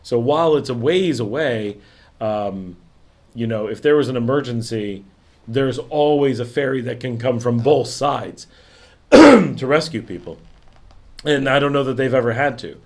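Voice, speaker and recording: Middle-aged male livestream host, casual chat, calm unhurried delivery, mildly raspy. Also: medium pitch. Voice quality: raspy tonight. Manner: calm, unhurried, mellow talker.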